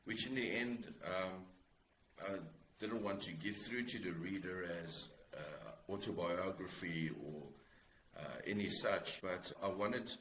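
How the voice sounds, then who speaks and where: A man in his forties with a South African accent, talking reflectively as an interview guest in a hesitant, halting way. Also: low voice, fairly bright tone, roomy sound, a little hiss or hum. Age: 40s. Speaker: guest author.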